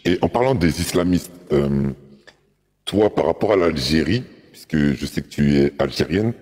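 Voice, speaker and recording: A man's voice: a bass voice, animated, normal speed, darkish tone, slightly rough. A man interviewing a guest live, asking brief, polite questions. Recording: long reverb.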